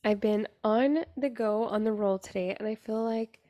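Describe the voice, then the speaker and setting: A young woman hosting her podcast, talking in a raspy voice with an earnest, chatty manner full of fillers. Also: on the high side, moderate pace, dark tone, dry recording. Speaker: podcast host. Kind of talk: casual solo podcast monologue.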